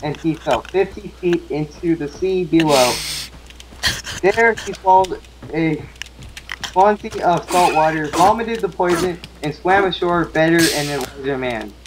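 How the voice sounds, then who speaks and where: A young man in a gaming video, switching between casual chat and halting reading aloud, stumbling over words. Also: fairly high pitch, noisy background. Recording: music playing over the voice.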